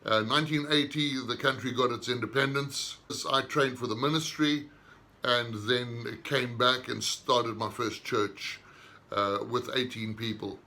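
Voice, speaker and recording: Man, 60s, minister, reflective testimony, middle pitch, bright tone. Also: steady tone. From southern Africa. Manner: unhurried, reflective storytelling in short phrases. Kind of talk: personal testimony.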